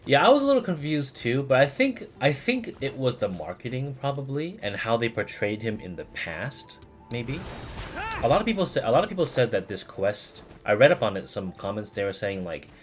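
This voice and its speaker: A man livestreaming a game, chatting casually in a rambling, halting way as he searches for words. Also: medium pitch, big pitch swings, soft background music. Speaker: game streamer. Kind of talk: casual livestream commentary, replying to chat.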